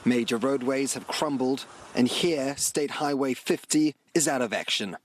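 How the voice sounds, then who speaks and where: A man's voice: medium pitch, measured pace, background noise. A man with a New Zealand accent reporting for television news from out in the field. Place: outdoors on location by damaged roads.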